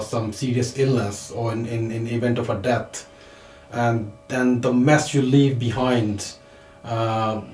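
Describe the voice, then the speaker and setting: A man's voice: mid-range voice, darkish tone, slight background noise. Hesitant man, echoey room. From Pakistan. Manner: hesitant, many fillers and repeated words, clears throat often. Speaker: financial advisor guest. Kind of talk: studio talk show interview.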